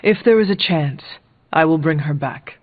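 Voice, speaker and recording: An actor playing an earnest, resolute resurrected warrior in a video game, speaking in a formal, measured way. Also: male voice, fairly high pitch, lightly acted. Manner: formal, measured, avoids contractions. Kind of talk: video game character dialogue. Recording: thin, phone-like narrow audio.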